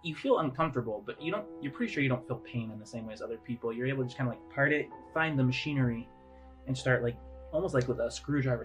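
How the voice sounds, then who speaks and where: A man runs a tabletop role-playing game as game master. He describes scenes in a loose, halting, conversational way, improvising as he goes, with music playing underneath. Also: medium pitch. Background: background music.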